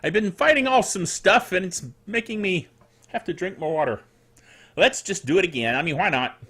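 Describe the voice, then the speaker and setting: A middle-aged man hosting a solo tech news show in a chatty, playful and quirky way, with theatrical asides and a slightly dry, rough throat. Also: sits high, lively intonation, moderate pace, fairly bright tone.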